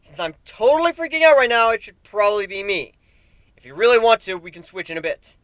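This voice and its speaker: A man voicing a frazzled, sarcastic griffin schemer in a fantasy story, excitable and ranting with dramatic flair. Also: high-pitched, a little fast, no room sound, voice pushed up. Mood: flustered.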